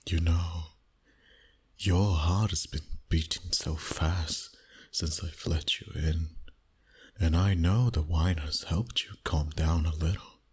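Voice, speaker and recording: A very low, heavily breathy male vampire, acted out by a man for an ASMR roleplay. He speaks slowly and intimately to one listener, often sinking toward a whisper. The manner is dominant, flirtatious and teasing. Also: steady tone, darkish tone, full character voice. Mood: teasing, seductive. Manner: slow, deliberate, flirtatious.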